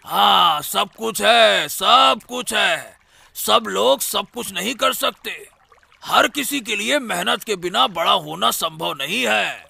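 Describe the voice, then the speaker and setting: A man playing a discontented, scheming boatman in a cartoon story, with a gravelly voice and exclamatory grumbling. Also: sits high, slow pace, bright, forceful tone, no echo, full character voice. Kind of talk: Hindi cartoon story dialogue.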